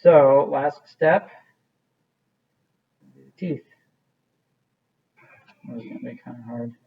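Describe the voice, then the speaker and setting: A man's voice: medium pitch, takes it slowly, husky, phone-quality sound. A man teaching an art class, walking students through a clay project in a casual, rambling way with many fillers. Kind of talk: classroom demonstration.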